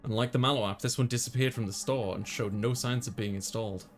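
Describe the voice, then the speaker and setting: A man's voice: medium pitch, steady tone, fast. A man reading a visual novel aloud as a let's player, narrating and chatting casually, with game music behind him.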